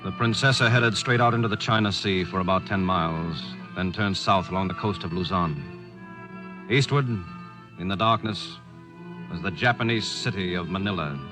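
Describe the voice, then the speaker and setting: An actor playing an earnest, somber American war correspondent and narrator in a 1940s radio drama. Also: male voice, sits low, measured pace, pauses often. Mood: somber narration. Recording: vintage 1940s radio broadcast with music.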